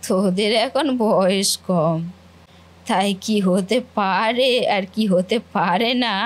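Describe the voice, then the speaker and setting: A woman's voice: mid-range voice, natural voice. A woman voicing a scheming, nosy older aunt in a cartoon family drama, with an insinuating, gossipy manner. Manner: insinuating, gossipy, drawn-out questioning.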